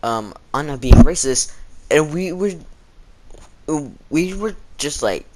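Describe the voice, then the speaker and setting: A man's voice: on the high side, animated, slow pace, a little mellow, poor audio. Rambling teenage guy telling a story. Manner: rambling, acts out quoted exchanges. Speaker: storytelling vlogger. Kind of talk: casual storytime chat.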